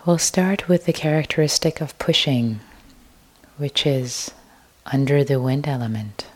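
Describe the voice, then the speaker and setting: Female meditation teacher: gentle and soft, drawn-out lines, a very low voice. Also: husky. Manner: slow, soft, gentle guidance with long pauses; drifts into an unvoiced whisper at times. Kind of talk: guided meditation.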